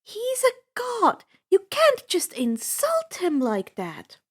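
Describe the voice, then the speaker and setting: A woman's voice: extremely high pitch, huge pitch swings, slow pace, pitched above the performer's own voice, heavily acted. A woman voicing an exasperated, bossy young girl adventurer in a story, scolding and emphatic.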